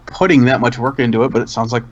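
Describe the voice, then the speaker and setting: A man co-hosting a casual podcast about games, congested and losing his voice, talking in a relaxed, reflective way. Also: medium pitch, fast, dull audio. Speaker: podcast co-host. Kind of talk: casual chat.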